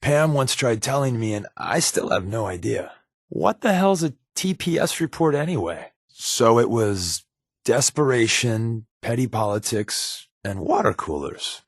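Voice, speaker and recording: An actor playing a wisecracking, sardonic undercover companion in a video game, talking in a glib, deadpan way. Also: male voice, medium pitch, a little slow, slightly rough, no room sound, studio quality, exaggerated voice. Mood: bemused, sarcastic. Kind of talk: game voice lines, dry quips.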